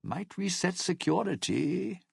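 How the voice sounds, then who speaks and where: An actor playing a cynical, self-serving lounge singer in a video game, with a raspy, gravelly voice and a wry, theatrical, menacing delivery. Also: male voice, sits high, lively intonation, measured pace, no room sound, heavily acted. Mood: agitated, scheming. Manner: wry, theatrical, hesitant muttering turning to threats. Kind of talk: video game character dialogue.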